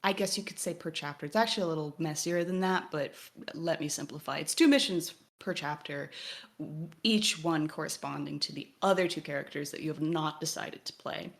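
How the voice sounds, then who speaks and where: A woman with a somewhat low voice talks as a podcast co-host. She explains in a conversational way, as if summarizing for a friend, and puts clear emphasis on important words. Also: fairly quick.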